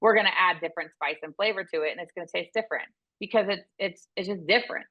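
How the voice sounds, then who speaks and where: A woman who works as a veterinary business consultant, speaking as an interview guest in a loud, intense, passionate way. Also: lowish voice, quick pace, dull audio.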